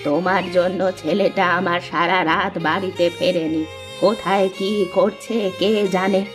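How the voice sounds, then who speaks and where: A woman's voice: lowish voice, steady tone, normal speed, music in the background, natural voice. Woman acting a nagging, worried village wife. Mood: worried, reproachful. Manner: scolding, plaintive. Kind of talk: Bengali cartoon drama dubbing.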